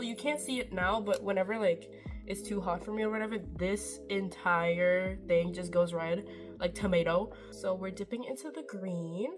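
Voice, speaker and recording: Chatty young woman doing a makeup tutorial. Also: medium pitch. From Norway. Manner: chatty, rambling, self-deprecating, swears casually. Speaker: beauty vlogger. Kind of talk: casual makeup tutorial chat.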